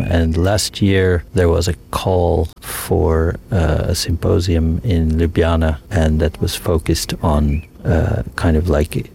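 A man from the United States talks about his sound art in a very low, gravelly voice. He rambles thoughtfully, circling back with fillers and restarts. Faint ambience sits behind him. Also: a little mellow, slight background noise. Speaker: multimedia sound artist. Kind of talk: interview, casual explanation.

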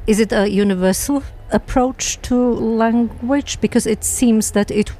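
A woman hosting a radio discussion, putting measured, clear questions to her guests and reading scripted narration. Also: on the high side, warm tone. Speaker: radio host and moderator. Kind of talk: panel moderation and scripted news narration.

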